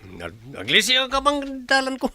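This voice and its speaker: A man's voice: very high-pitched, wildly swooping pitch, normal speed, pushed and bright, dry recording, studio quality, full character voice. A man playing a lazy, hard-drinking husband in a radio drama, surly, whiny and defiant, with an Ilocano Filipino accent.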